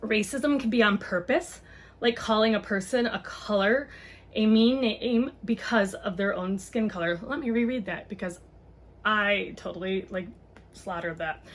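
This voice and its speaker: A woman reading a children's book aloud and chatting casually to parents, explaining things simply. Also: mid-range voice.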